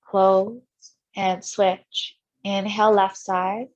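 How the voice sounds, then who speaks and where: A woman yoga instructor leading a guided breathing meditation in short, gentle step-by-step cues. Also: mid-range voice, little pitch movement, very slow, lo-fi sound.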